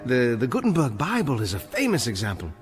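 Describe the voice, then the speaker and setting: An earnest, animated bookish librarian. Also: male voice, medium pitch, fairly quick, big-room sound, soft background music. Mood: earnest, eager. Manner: polite, hesitant, pedantic, passionate. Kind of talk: radio drama acting.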